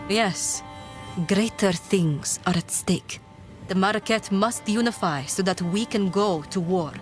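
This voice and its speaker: A woman's voice: mid-range voice, takes it slowly, lightly acted. A woman playing a determined, regal desert leader in a fantasy game, formal and commanding. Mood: firm. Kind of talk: dramatic fantasy game acting. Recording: music under the voice.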